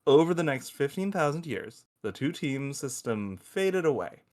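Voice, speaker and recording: A man's voice: on the high side, moderate pace. A man playing a crude, teasing space probe who loves football and shows off as he explains, in a table-read audio drama. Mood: earnest, enthusiastic. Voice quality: animated, swooping delivery. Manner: foul-mouthed, teasing, showy explainer.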